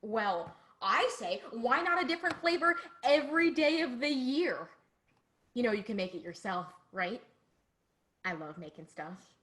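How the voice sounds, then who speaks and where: A woman's voice: on the high side, expressive, measured pace, fairly bright tone, slight background noise. A woman playing a naive, sheltered young girl in an online play, chatting earnestly as if hosting her own cooking video. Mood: eager, chatty. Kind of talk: dramatic monologue as online cooking show.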